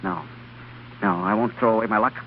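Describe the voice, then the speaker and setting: Radio drama role: intense young researcher, obsessive, distracted husband, rapid halting speech, dull noisy vintage recording. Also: male voice, fairly low pitch, vocal fry. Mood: excited. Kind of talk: old-time American radio drama acting.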